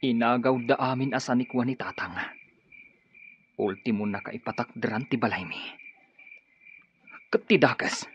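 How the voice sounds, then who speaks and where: Over a dull, noisy recording comes a mid-pitched man, an actor in role: a grieving, embittered son set on revenge. His delivery is intense and emotive, in Ilocano radio drama style. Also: fairly even intonation, moderate pace, pauses often, natural voice. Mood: bitter, grieving.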